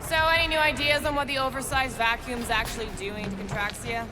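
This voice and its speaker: An actress playing a tough, level-headed alien warrior in a video game, dry and practical in manner. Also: female voice, a little high, level tone, brisk, big-room sound, over music, lightly acted, rough recording. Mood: curious, dry. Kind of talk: video game cutscene voice acting.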